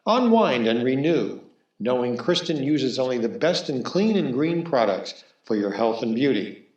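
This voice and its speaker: A man reading a scripted broadcast announcement as a radio theatre announcer, formal and measured. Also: medium pitch, sing-song, darkish tone, lo-fi sound. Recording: roomy, echoing sound.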